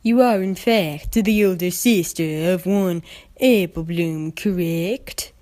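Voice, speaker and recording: A woman's voice: on the low side, takes it slowly, warm tone. Officious cartoon stallion, woman performer: grave, bureaucratic, carefully formal delivery. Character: serious, officious business-suited stallion agent.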